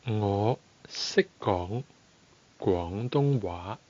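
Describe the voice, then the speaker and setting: A man teaching a podcast language lesson, speaking slowly and deliberately and repeating phrases for learners. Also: fairly low pitch, lively intonation, a little mellow, highs cut off.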